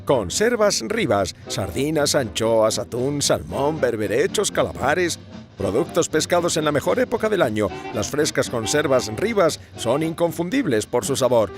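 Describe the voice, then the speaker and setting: Man acting as animated vintage radio pitchman over music. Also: moderate pace. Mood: promotional. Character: male 1930s radio announcer selling canned seafood. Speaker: advertisement announcer.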